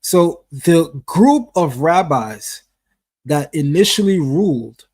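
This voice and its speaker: A man's voice: fairly high pitch, animated, slow, a little mellow, slightly rough. A man hosting a discussion, talking emphatically. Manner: emphatic, preacher-like call and response. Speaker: host. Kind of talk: casual discussion with a guest.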